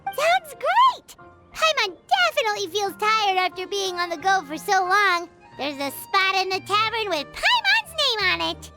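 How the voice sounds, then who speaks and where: A tiny, chirpy little companion with a very high, bouncing voice that swoops up and down. She is bouncy and a bit greedy, chatting about herself in the third person over game music. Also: very animated, normal speed, full character voice. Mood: playful. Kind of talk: game cutscene voice acting.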